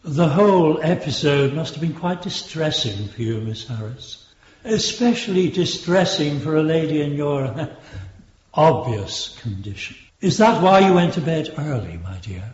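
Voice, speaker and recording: An actor playing a patronising Victorian prosecuting barrister with an English accent, courtly and insinuating, in a British radio drama. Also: male voice, on the high side, big pitch swings, a little slow, darkish tone. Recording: echoey, muffled courtroom-like recording.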